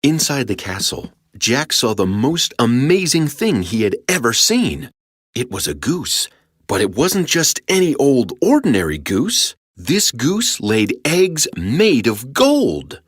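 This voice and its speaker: Low-voiced, expressive woman storyteller. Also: slow pace, slightly rough, no echo, studio quality. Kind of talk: expressive children's storybook narration.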